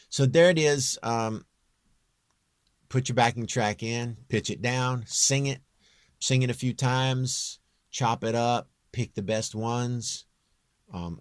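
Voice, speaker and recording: A man with a very low, near-bass voice giving a casual, off-the-cuff software walkthrough in a rambling, plain-spoken, self-deprecating way. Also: dry recording, clean studio sound. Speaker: home-recording singer giving a tutorial.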